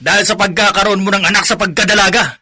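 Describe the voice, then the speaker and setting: Forceful, hostile Filipino elder brother. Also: male voice, high voice, steady tone, fast, breathy edge, heavily acted. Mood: hostile, accusing. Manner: forceful, accusing. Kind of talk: Filipino radio drama acting.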